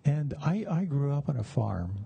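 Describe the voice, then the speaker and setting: A man with a deeply mellow, relaxed voice that is husky and edged with creak. He talks like a meditation teacher sharing stories with students. His delivery is measured and halting, with room left between phrases. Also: muffled recording. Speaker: meditation teacher giving a talk. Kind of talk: conversational dharma talk with personal stories.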